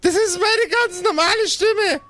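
A man voicing a young female hospital receptionist who is loud, grating and eagerly helpful in a tabletop audio play. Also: very high voice, unhurried, pitched above the performer's own voice, exaggerated voice. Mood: shrill, helpful. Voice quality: piercing, shouting, strained. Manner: loud, over-explaining.

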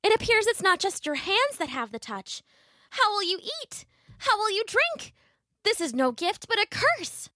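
A woman's voice: very high voice, big pitch swings, moderate pace, sharp tone, no echo, clean studio sound, pitched above the performer's own voice, full character voice. A woman voicing a loving young princess in a story, pleading with earnest, dramatic delivery. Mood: reproachful, worried.

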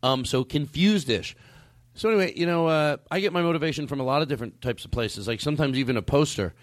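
A man's voice: on the high side, quick pace, no echo, clean studio sound. A man hosting a comedy podcast in casual, joking chat, swearing freely and teasing himself and others. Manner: profane, teasing, self-deprecating banter.

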